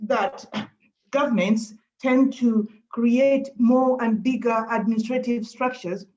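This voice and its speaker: A woman's voice: fairly high pitch, fairly even intonation, slow, a little mellow. A woman, asking a panel an earnest, searching question during a webinar over a video call. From Uganda. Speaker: audience member asking a question. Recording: muffled video call audio.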